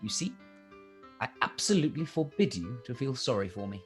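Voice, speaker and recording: A man playing a charming, wronged young officer in a period radio play, confiding and measured, with music behind. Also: medium pitch, big pitch swings, lightly acted. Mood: stoic, cheerful. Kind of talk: live-read period radio play acting.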